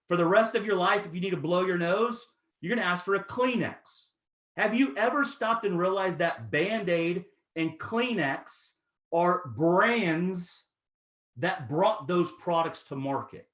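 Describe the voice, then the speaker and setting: Hyped-up guy in his 30s pitching hard to a crowd, highish voice, echoey room. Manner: energetic, persuasive, talks straight to the audience. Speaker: network marketing presenter. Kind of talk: motivational sales pitch.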